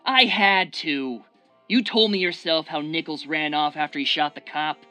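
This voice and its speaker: A man's voice: a little high, moderate pace, sharp tone, clear, resonant tone, faint music behind. A man playing a paranoid, domineering crime boss in a radio drama, demanding and agitated. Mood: anxious.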